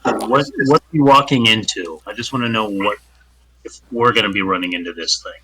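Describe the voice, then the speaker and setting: A man's voice: on the low side, a little fast, background noise. A man playing a tabletop game, asking questions and restarting his phrases often. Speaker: player. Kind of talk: casual tabletop play.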